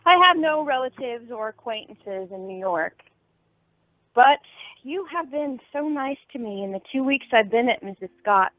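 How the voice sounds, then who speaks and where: A demure young lady in mourning played by an actress speaks formally and plaintively in a radio play. Her voice is slightly high and very expressive, heard through a narrow phone-like band. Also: moderate pace, no room sound, close to an everyday voice. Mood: mournful. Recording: tinny phone line with music behind.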